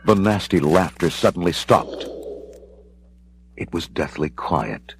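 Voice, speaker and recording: A man's voice: low voice, slow, breathy edge. A man reading scripted, dramatic storybook narration. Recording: music behind the voice.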